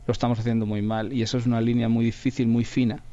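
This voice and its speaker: A man's voice: mid-range voice, flat delivery, fast talker, warm tone, dull audio. A man with an accent from Spain, talking reflectively in an interview as a radio drama director, often repeating words for emphasis. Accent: Spanish from Spain.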